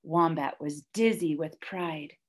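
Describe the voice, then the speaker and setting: A young woman reading a children's story aloud like a teacher, deliberately and with dramatic emphasis. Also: low voice. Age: young adult. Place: small classroom, indoors, by a whiteboard.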